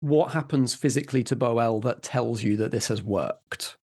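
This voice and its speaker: A man's voice: medium pitch, normal speed, darkish tone, slightly rough. A man narrating and refereeing a tabletop roleplaying game as game master.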